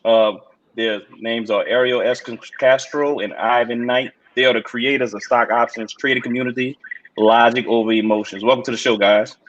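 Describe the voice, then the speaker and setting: A man hosting a podcast interview, asking questions in a relaxed way. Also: medium pitch, normal speed, highs cut off, slight background noise. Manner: relaxed, repeats "all right". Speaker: podcast host.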